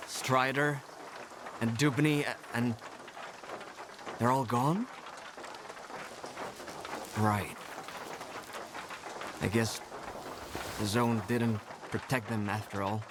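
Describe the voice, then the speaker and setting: Slow-talking gruff, weary stalker played by a man. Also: medium pitch, background noise, natural voice. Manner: blunt, sweary, commanding. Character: weary gruff stalker, cursing, taking charge. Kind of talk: video game cutscene acting.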